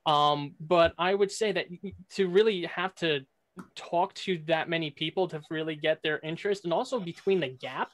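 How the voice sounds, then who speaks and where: A man's voice: a little high, moderate pace, a bit bright, no room sound. A young man making his case in a casual debate, opinionated and wordy. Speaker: debate contestant. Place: small room at home, video call.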